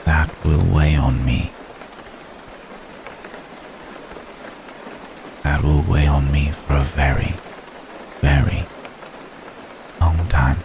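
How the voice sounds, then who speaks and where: Very deep, gravelly vampire gentleman played by a man. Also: exaggerated voice. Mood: remorseful. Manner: formal, measured, solemn, with long pauses. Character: male vampire gentleman, ancient, brooding, remorseful, tender. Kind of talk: ASMR roleplay, intimate slow dramatic monologue. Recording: steady rain noise behind the voice. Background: rain.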